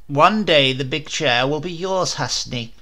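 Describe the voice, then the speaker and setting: A man's voice: on the high side, a little slow, sharp tone. A man voicing a stern, noble old king who scolds and mocks his spoiled son in a storybook reading. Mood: stern, lecturing.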